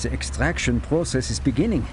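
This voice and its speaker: A harried scientist played by a man, fussy and exasperated. His pitch swings widely as he pleads for patience, with loud game music behind him. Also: animated, a little fast, lightly acted. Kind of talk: video game cutscene acting.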